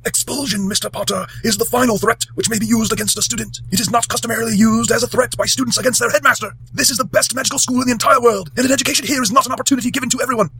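A man voicing a stern old male headmaster wizard in an audiobook reading, with a high, breathy elderly tone. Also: fairly even intonation, rapid-fire, few pauses, pushed and bright, a little hiss or hum, exaggerated voice. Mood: cold.

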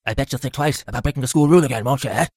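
A man's voice: medium pitch, racing, darkish tone, no echo, studio quality, lightly acted. A man playing a cruel, leering school caretaker in an audiobook, nasty, gloating and menacing in manner. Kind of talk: audiobook character acting.

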